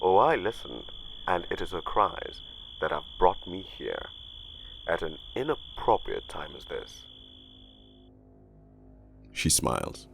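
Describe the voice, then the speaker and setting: Very deep, gravelly hard-boiled cop played by a man. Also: sing-song, background noise, heavily acted. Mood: sardonic, then grim. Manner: brooding, hard-boiled, deliberate. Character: cynical, world-weary corrupt male police detective, noir narrator. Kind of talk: scripted noir narration in an animated storybook. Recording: music bed behind the voice.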